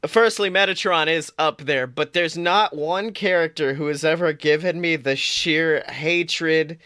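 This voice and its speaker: Man, podcast co-host, bright tone, dry close sound, animated, swears and laughs. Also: fairly high pitch, measured pace, clean tone, no echo. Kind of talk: casual chat.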